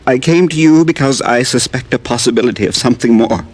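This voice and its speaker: A man's voice: mid-range voice, breathy edge, close to an everyday voice. A man playing a weary, sick farmer. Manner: quiet, halting and tired. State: ill, weak. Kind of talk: 1950s radio drama acting.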